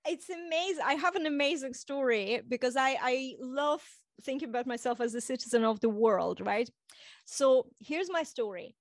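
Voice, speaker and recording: A high-pitched woman from Estonia talks with a light Russian accent, animated and quick to laugh. Her voice is recorded close and dry, with no room sound at all. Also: no room sound. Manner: animated, laughs often, restarts words. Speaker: guest coach. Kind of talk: casual podcast interview.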